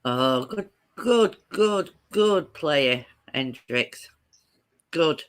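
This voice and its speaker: A woman's voice: sits low, animated, extremely slow, smooth. A woman co-hosting a casual live chat stream, teasing and laughing often.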